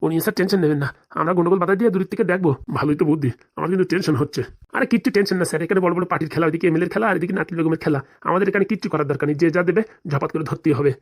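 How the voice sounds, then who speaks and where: A man's voice: fairly high pitch, very fast, dry recording, studio quality. A man voicing timid, fretful police officers in a comedy cartoon, hesitant, stammering and deferential. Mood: worried, fretful. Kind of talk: comic cartoon dialogue.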